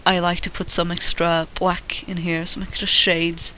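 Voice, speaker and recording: Bright high-voiced Swedish man, rambling. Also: monotone, unhurried, a little hiss or hum, low-quality recording. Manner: rambling, self-deprecating, swears casually. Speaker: digital artist narrating his drawing. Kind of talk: casual commentary over a drawing. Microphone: voice-over recorded while drawing.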